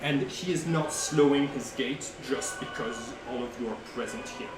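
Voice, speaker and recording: A man working as game master, improvising tabletop narration in a halting way as he searches for words. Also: medium pitch. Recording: roomy, distant mic, music behind. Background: music.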